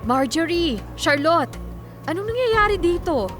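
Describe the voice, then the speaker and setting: An actress playing a kind but firm young office worker in a Filipino radio drama, friendly and assertive. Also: female voice, extremely high pitch, very animated, a little slow, exaggerated voice. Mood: indignant, firm. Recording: music bed under dialogue.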